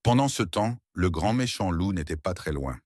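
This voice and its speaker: A man narrating a scripted children's story with expressive, playful storybook delivery. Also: sits low, moderate pace.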